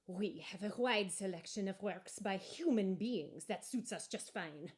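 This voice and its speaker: A woman's voice: medium pitch, a little slow, natural voice. Woman putting on a haughty, snooty gallery man. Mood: haughty, dismissive. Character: snooty male art gallery owner, dismissive, fussy.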